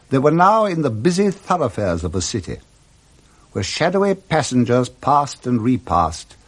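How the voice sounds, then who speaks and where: A man reads scripted literary narration in the style of a 1960s radio drama. His voice sits at a middle pitch but moves very widely. The delivery is theatrical and expressive.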